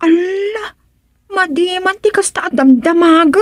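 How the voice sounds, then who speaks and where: Female actor, high pitch, worried forthright village health worker, Ilocano accent, radio drama acting, dry. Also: measured pace, dry recording. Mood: concerned, forthright.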